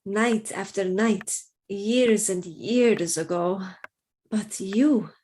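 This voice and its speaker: A woman's voice: mid-range voice, extremely slow, a little mellow. An actress playing a mysterious young noblewoman in a radio play, speaking in a languid, intense and formal way. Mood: astonished, intense. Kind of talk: dramatic radio play acting.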